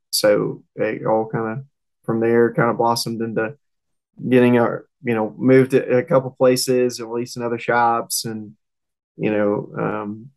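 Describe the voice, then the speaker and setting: A man's voice: fairly low pitch, gravelly, poor audio. A young man with a Southern US accent, talking casually and hesitantly as a guest in an interview. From southern United States. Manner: rambling, hesitant, informal. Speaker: race car driver and interview guest. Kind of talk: casual interview talk, recounting career.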